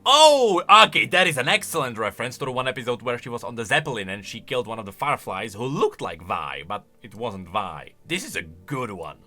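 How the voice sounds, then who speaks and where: A man's voice: medium pitch, very animated, fairly quick, few pauses, pushed and bright, soft background music. A man giving casual, exclamatory reaction commentary, thinking aloud as a video creator.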